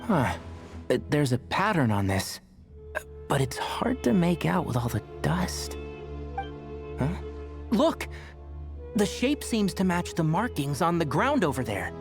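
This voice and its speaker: An actress playing a no-nonsense, sharp-tongued young woman leading an expedition in a video game, dry and commanding. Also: deep voice, very animated, unhurried, pauses often, exaggerated voice. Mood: focused. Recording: game music clearly behind voice.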